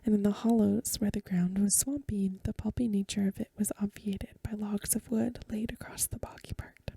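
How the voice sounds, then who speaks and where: A woman reading a story aloud in a soft, breathy, mostly whispered ASMR style, gentle and unhurried, close to the microphone. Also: mid-range voice, steady tone, no room sound. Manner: gentle, unhurried, literary narration.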